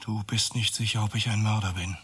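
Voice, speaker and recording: A man's voice: on the low side, steady tone, a little fast, fairly bright tone, slightly rough. An actor playing a bitter, cornered, sarcastic man accused of murder in a radio drama. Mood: sarcastic, accusing. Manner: sarcastic, accusatory, rhetorical questions. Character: Max, accused architect, bitter, volatile, cornered lover. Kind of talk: German radio drama acting.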